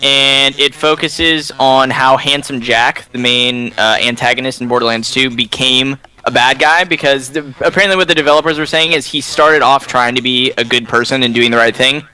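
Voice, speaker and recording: A man's voice: mid-range voice, fairly even intonation, normal speed, few pauses, pushed and bright, smooth, poor audio. A young man co-hosting a gaming podcast, explaining game news in casual chat.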